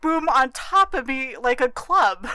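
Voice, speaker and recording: A woman's voice: high voice, very animated, takes it slowly, sharp tone, smooth, dry recording. A woman co-hosting a podcast, debating books and films casually in an assertive, matter-of-fact way. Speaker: podcast co-host. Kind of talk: casual book and film discussion.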